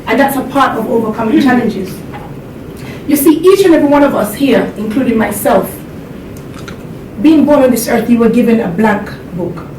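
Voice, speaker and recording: A woman's voice: animated, moderate pace, dark tone. A woman from Jamaica giving an earnest motivational talk to a seminar audience in a room. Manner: earnest, laughs along with the audience. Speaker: motivational speaker. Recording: echoey hall, distant mic, background rumble.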